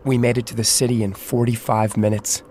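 A man's voice: medium pitch, little pitch movement, brisk, a little mellow, slight background noise, close to an everyday voice. A man playing an anxious, guilt-ridden but stubborn husband in an audio drama, speaking earnestly and hesitantly. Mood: regretful.

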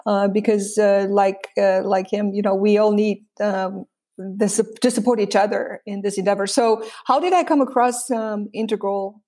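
A woman's voice: medium pitch, fairly even intonation, dark tone. Hesitant Romanian-born woman, reflective guest. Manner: halting, many fillers and restarts, reflective. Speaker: interview guest. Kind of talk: conversational podcast interview.

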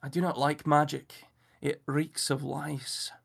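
A man's voice: a little high, brisk, fairly bright tone, breathy edge. A man voicing a fussy, aloof male detective who puts on a mock French accent and speaks in precise, formal, haughty phrases. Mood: dry, amused.

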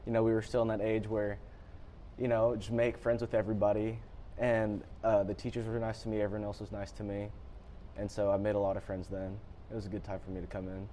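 A man's voice: fairly low pitch, level tone, fast talker, warm tone, slight background noise. A teenage boy born in Japan, answering interview questions politely and often saying "yes, sir". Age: teens. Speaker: student athlete guest.

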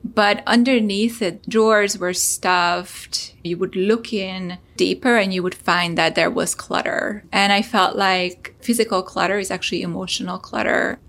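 A woman's voice: mid-range voice, fairly even intonation, a little slow, creaky, hardly any pauses, a bit bright. A woman from Hungary, a startup founder, telling an earnest personal story as a podcast guest.

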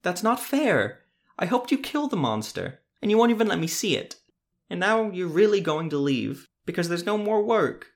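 A man's voice: on the high side, big pitch swings, normal speed, pitched above the performer's own voice. A man voicing an eager, tearful young boy, pleading and whiny. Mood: upset.

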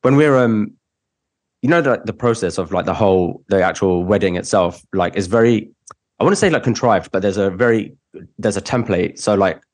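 A man's voice: lowish voice, moderate pace, darkish tone. A young man hosting a podcast, chatting casually and rambling with lots of "like". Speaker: podcast host, wedding photographer.

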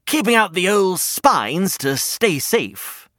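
A jittery storybook hedgehog, put on by a storyteller, speaking low and slow in a nervous, deflated way. The sound is close and dry. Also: big pitch swings, fairly bright tone, dry recording. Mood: worried. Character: anxious hedgehog who fears everything.

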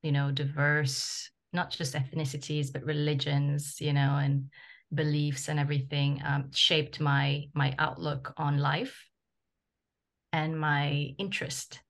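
A woman whose first language is French speaks in a low, flat voice with a gravelly edge. She grew up in the Ivory Coast. She answers reflectively, pausing often with ums. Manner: reflective, with many ums and uhs. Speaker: guest, travel journalist. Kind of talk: interview answers.

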